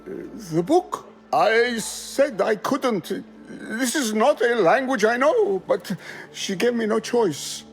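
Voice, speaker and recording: A man playing a terrified scholar in a video game, pleading and halting as he speaks. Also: very high voice, lively intonation, soft background music, exaggerated voice. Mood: frightened confession. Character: terrified, pleading male scholar, captive code-breaker. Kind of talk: video game character acting.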